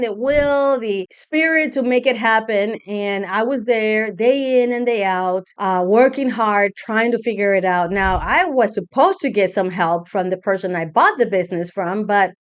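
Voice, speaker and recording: A woman's voice: fairly high pitch, clear, resonant tone. A middle-aged woman from Guatemala, a business coach, telling a personal story to camera in a candid, conversational way with self-deprecating asides.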